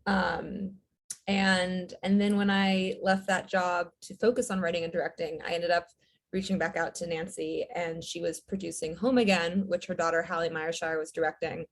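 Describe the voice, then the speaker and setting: A woman in her thirties, a writer-director guest, chatting in a relaxed way with lots of "um"s. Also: fairly low pitch, moderate pace. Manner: relaxed, fillers, light laughs. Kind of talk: casual interview chat.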